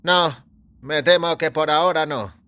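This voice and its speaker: A man playing a chatty, jovial doctor in audio fiction, with a breezy, animated delivery. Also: on the high side, a little slow, voice pushed up. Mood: brisk, genial. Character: chatty, jovial doctor, curious and matter-of-fact. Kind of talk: audio fiction character acting. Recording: music behind the voice.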